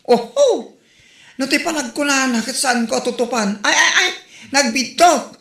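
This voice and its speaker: A woman's voice: high-pitched, measured pace, vocal fry, fairly bright tone, roomy sound, full character voice. A woman with an Ilocano accent, playing a gossipy neighbor who exclaims and teases dramatically. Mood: animated.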